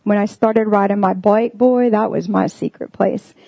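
A woman's voice: medium pitch, steady tone, normal speed, gravelly, no room sound, muffled recording. A young woman giving a casual, earnest sermon, filling her talk with "like" and "um". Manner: earnest, full of "like" and "um" fillers. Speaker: preacher.

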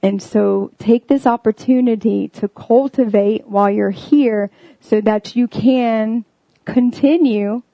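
Young woman preaching casually, kinda high voice, warm and mellow, dry sound. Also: slow, no room sound, rough recording. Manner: earnest, full of "like" and "um" fillers. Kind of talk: casual sermon.

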